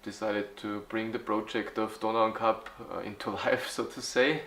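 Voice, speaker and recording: A man in his forties from Austria, answering interview questions haltingly, with many fillers and restarts. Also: lowish voice, steady tone, fairly bright tone, roomy sound. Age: 40s. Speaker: youth football club organizer.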